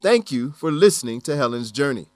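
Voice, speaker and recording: A man reading scripted educational narration for an English lesson, enunciating clearly in an instructional manner. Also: medium pitch, lively intonation, fairly quick. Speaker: narrator of an English-teaching radio program.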